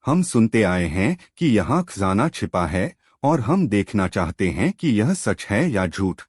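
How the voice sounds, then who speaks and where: A man's voice: lowish voice, very animated, unhurried, breathy edge, dry recording, studio quality, exaggerated voice. A man voicing a brave, curious village boy, bold and earnest, in a children's storybook tale.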